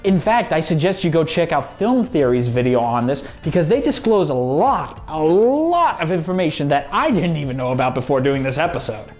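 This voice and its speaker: A man's voice: fairly high pitch, very animated, moderate pace, hardly any pauses, a little mellow. A man co-hosting and narrating a scripted video commentary. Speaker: co-host. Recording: music behind the voice.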